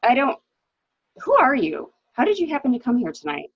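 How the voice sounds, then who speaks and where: A woman playing a cool, weary, bitter wife in a murder mystery reading, with a wry, deliberate, dramatic delivery on a lo-fi recording. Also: high voice, wildly swooping pitch, breathy edge, no echo, exaggerated voice. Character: cool, weary, bitter wife who shot her husband. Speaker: actor in an amateur library reading.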